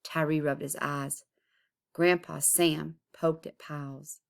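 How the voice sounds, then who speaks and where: A woman reading a children's picture book aloud expressively, with deliberate, dramatic emphasis. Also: low-pitched, steady tone, gravelly. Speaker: storybook reader.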